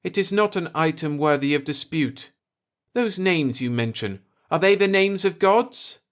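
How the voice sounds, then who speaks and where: A man's voice: fairly high pitch, animated, unhurried, voice pushed up. A man voicing a stern, superstitious old alien native who speaks formally and insistently in a story. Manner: formal, insistent, archaic speech.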